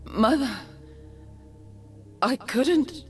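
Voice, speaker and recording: An actress voicing a regal, resolute young noblewoman mage in a video game, with a proud, measured, dramatic delivery. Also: sits high, expressive, breathy edge, faint music behind, heavily acted. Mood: sorrowful.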